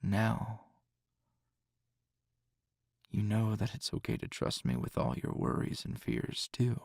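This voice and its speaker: A man playing a tender, teasing hero boyfriend in an intimate ASMR roleplay, soft-spoken with a husky, breathy voice and unhurried pauses. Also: fairly low pitch, sing-song, no echo, clean studio sound, heavily acted. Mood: tender, reassuring. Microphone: close, dry microphone.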